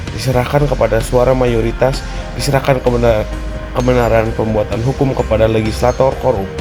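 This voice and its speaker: A young adult man reading a scripted spoken-word essay in a rhetorical, declamatory manner. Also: mid-range voice. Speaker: university student.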